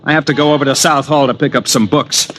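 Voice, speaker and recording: Man playing a sarcastic young student, has a cold, stammers, slightly high voice, hissy old radio recording. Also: fairly even intonation, quick pace, a bit bright, natural voice. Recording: old radio broadcast with hiss.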